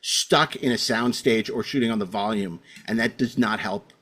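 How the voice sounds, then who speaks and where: A man's voice: lowish voice, normal speed, fairly bright tone, slightly rough. A man hosting a casual panel discussion, arguing his opinions emphatically and often repeating himself. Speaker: podcast host.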